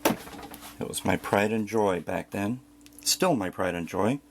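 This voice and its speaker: Low-voiced man with wry asides. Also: slow, vocal fry, noisy background. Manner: wry, self-deprecating asides. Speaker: record collector presenting a collection. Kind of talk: casual narrated home video tour. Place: indoors at home.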